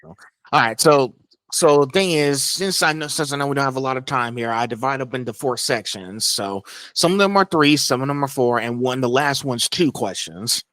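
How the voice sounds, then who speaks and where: A man hosts a casual podcast interview, often stumbling and restarting his questions with plenty of "um"s. His voice sits at a middle pitch. It is recorded close and dry, with no room sound. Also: fairly bright tone, no echo. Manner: halting, many restarts and "um"s. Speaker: podcast host.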